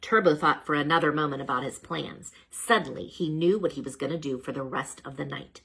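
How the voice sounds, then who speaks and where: A woman reading a children's storybook aloud as a storyteller, dramatic and expressive, with chatty asides to the listeners. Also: sits low, fairly quick, gravelly, husky, poor audio.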